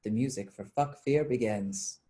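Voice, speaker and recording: A woman's voice: extremely low pitch, moderate pace, mellow. A woman narrator reading stage directions as scripted narration.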